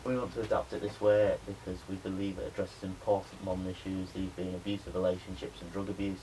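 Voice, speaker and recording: A young adult man, a media student giving a spoken pitch presentation to a class. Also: flat delivery, quick pace, dark tone. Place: indoor room with a small audience. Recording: noisy room recording.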